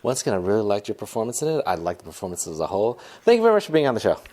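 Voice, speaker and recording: A man's voice: fairly low pitch, huge pitch swings, racing, darkish tone. A man working as a radio host, doing interviews and paying effusive compliments. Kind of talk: on-location interview.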